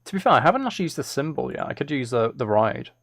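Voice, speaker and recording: Casual man with a gravelly edge, close up. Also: medium pitch, very animated, racing, a bit bright, no echo. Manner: self-deprecating, musing aloud. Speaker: streamer making music live. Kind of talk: casual chat while working.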